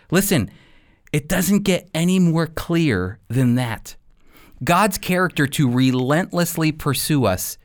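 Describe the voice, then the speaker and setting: A man teaching the Bible as a radio host, speaking in an earnest, emphatic way with deliberately shaped, scripted phrasing. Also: fairly high pitch.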